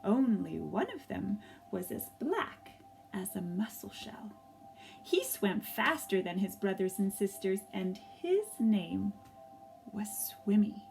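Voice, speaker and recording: A woman reading a story aloud for young children, gently and expressively, pausing to ask them questions. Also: medium pitch, sing-song, vocal fry, faint music behind, rough recording.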